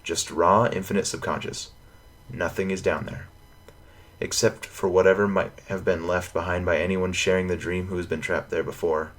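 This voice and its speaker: A man playing a businesslike heist point man in an amateur audio drama, matter-of-fact and steady. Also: sits low, moderate pace. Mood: grave, explanatory.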